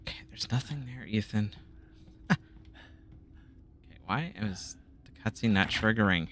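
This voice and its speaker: A man's voice: lowish voice, expressive, a little slow, fairly bright tone, a little hiss or hum. A man doing casual let's-play commentary, chatty and laughing, muttering to himself when he gets stuck.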